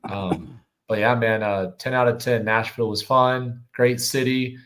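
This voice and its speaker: A man with a mid-pitched voice that is a little hoarse from shouting. He talks in an enthusiastic, slangy way, like a guest hanging out on a casual live show. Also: steady tone, measured pace. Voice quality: slightly hoarse. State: hoarse from yelling. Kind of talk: casual livestream chat.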